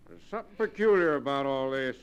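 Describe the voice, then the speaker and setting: An actor playing a sceptical middle-aged sheriff, matter-of-fact and authoritative, in 1940s radio drama. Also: male voice, mid-range voice, animated, fast, clear, resonant tone, dull audio, lightly acted. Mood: firm, suspicious. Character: plain-spoken, firm, sceptical middle-aged county sheriff.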